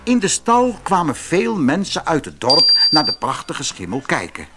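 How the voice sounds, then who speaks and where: A man with a Dutch accent from the Netherlands reading a fairy tale aloud in expressive, scripted narration, with a slightly husky voice. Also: on the high side, moderate pace, loud music behind the voice. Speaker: audiobook narrator.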